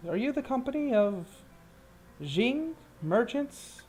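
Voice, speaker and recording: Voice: mid-range voice, big pitch swings, slow pace, darkish tone, faint music behind, pitched above the performer's own voice. A game master voicing a little halfling man, a hesitant and formal messenger in a tabletop game. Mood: polite, uncertain.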